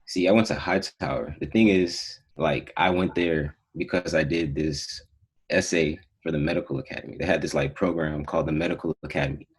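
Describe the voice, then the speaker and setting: A young man from Houston talks as a podcast guest in a very deep, husky voice. He keeps things relaxed and slangy, and lets his phrases trail off. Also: fairly quick, poor audio. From Houston, Texas. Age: young adult. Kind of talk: casual chat.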